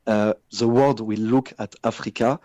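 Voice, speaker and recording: Man, French accent, lowish voice, halting and measured, dry close sound. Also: steady tone, mellow, dry recording, highs cut off. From France. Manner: measured, frequent hesitations and restarts. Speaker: business guest. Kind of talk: radio interview answers.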